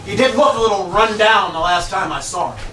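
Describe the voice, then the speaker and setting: A man's voice: sits high, brisk, lightly acted. A man on stage playing a cagey, contrary partner in terse, dry banter, picked up from far off in a noisy room. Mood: evasive. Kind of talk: live stage play, clipped absurdist banter. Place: small live venue in a bar, far microphone. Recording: distant roomy live recording, noisy.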